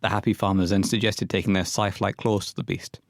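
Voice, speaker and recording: A man with a low voice narrates a scripted horror tale. He is recorded close and dry, with no room around him. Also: little pitch movement, fast talker, gravelly, no echo.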